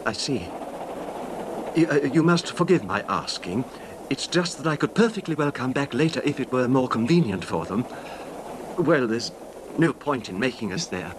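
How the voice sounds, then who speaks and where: A man's voice: very animated, moderate pace, close to an everyday voice. An actor playing a nervous, apologetic, well-off little man in a radio drama, speaking tentatively and deferentially. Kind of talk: old-time radio horror drama acting. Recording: noisy radio recording, train rumble.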